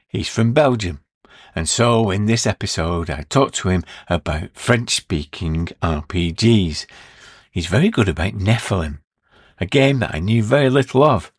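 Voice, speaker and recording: Low-voiced man hosting a podcast. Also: animated, moderate pace, breathy edge, dry recording, studio quality. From Britain. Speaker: podcast host. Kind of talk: podcast intro and interview. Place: room.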